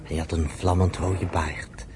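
A man's voice: low voice, little pitch movement, a little slow, noisy background. A man narrating a radio drama in a scripted storytelling style.

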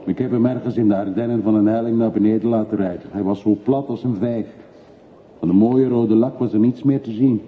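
A man's voice: lowish voice, steady tone, brisk, lightly acted. An actor playing a brooding middle-aged man back from long exile in a radio play, terse, evasive and reflective. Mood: wistful, remembering. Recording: muffled phone-like old radio recording, faint hiss.

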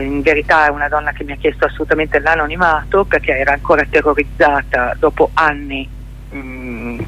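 A middle-aged woman with a husky voice, explaining her own work as a guest in a conversational radio interview over the telephone. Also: low voice, a bit bright, slight background noise. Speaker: interview guest, journalist and stage performer. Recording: dull telephone line to a radio studio.

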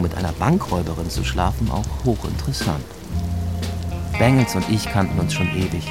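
An actor playing a brooding, lovesick policeman, narrating in measured noir style in a German radio drama, over music and rain. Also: low voice, natural voice. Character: brooding, lovesick male cop telling his story. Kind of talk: noir first-person scripted narration.

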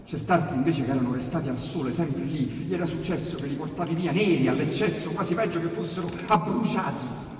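A man reciting verse dramatically, moving between loud cries and hushed whispers. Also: fairly high pitch, fairly quick, a little hiss or hum. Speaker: reciter of dialect poetry. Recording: echoey hall, distant mic, broadcast on radio. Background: piano accompaniment.

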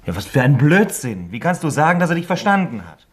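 An actor playing a blunt, indignant friend in a German radio play, husky and informal as he protests. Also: fairly high pitch, sing-song, brisk. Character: blunt, indignant male friend defending the suspect.

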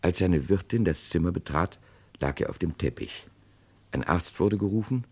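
A man with an extremely deep voice acts as narrator in a dry studio. He delivers scripted true-crime narration in the 1960s radio manner. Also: expressive, moderate pace, breathy edge, no room sound.

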